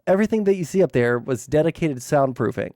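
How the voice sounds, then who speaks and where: A man's voice: a little high, lively intonation, fast talker, no room sound. Give me a man chatting loosely on his stream, full of fillers, in a dry, dead room. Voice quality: naturally loud voice. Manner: rambling, swearing, lots of fillers. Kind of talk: casual livestream chat. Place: room hung with soundproofing blankets. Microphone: streaming mic with gain turned low.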